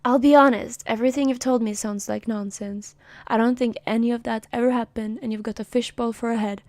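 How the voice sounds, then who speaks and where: A woman's voice: fairly high pitch, fairly even intonation, a little fast, a little mellow, no room sound, natural voice. A woman voicing a boastful, wisecracking male sky god while reading a comic aloud on a stream. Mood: cocky. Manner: cocky, wisecracking.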